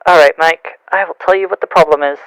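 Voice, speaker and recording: Casting: flustered young male coworker acted by a man, hesitant, higher-pitched, fry in the voice, audio drama. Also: a bit bright, no room sound, exaggerated voice, low-quality recording. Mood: exasperated. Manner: hesitant, stammering.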